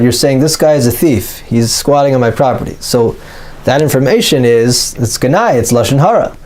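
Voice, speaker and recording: A man's voice: medium pitch, a little mellow. A man giving an explanatory religious lecture as a teacher, working through an argument methodically and analytically, stressing his key points.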